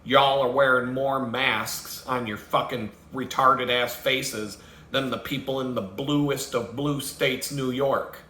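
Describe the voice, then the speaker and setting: A man's voice: mid-range voice, steady tone, slow, pushed and bright. Man ranting bluntly in a roomy space. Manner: blunt, swears heavily, laughs at his own jokes. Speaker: vlogger host. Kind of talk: profane rambling rant. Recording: roomy with faint background noise.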